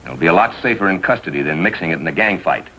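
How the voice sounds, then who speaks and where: An actor playing a decisive, hard-line police captain in 1950s TV drama style, curt and commanding. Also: male voice, animated, fast talker, bright, forceful tone, slightly rough, heavily acted. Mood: decisive, firm. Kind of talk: 1950s TV crime drama acting. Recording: noisy old TV soundtrack with rumble.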